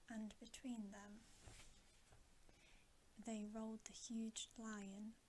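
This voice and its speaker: Slow, whispering woman reading aloud. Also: on the high side, little pitch movement, slight background noise, poor audio. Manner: hushed, mostly whispering, gentle and deliberate. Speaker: ASMR reader. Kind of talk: whispered bedtime ASMR storybook reading.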